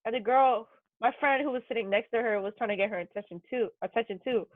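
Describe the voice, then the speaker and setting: A woman's voice: a little high, quick pace, dry recording. A woman telling informal anecdotes in a casual group chat, on a dull recording. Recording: dull, lo-fi sound.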